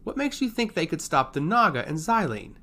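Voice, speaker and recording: A man's voice: a little high, very animated, a little fast, sharp tone, voice pushed up. A man voicing a proud, skeptical lord with a passionate, scornful manner in a fantasy audio story. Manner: passionate, scoffing.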